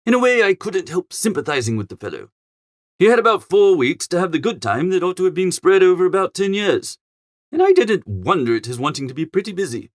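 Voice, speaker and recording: A man reading a storybook in character as a chatty, flustered young gentleman, with a posh, exclamatory, grumbling manner. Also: high voice, no echo, clean studio sound. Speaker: audiobook narrator. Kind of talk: animated first-person storybook narration in character.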